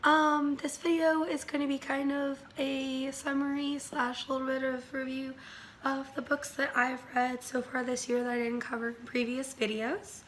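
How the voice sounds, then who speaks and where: Bright-voiced woman with a worn, recovering voice. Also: high voice, moderate pace, a little hiss or hum. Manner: rambling, many ums and likes, animated asides. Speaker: book reviewer. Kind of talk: casual chatty book review.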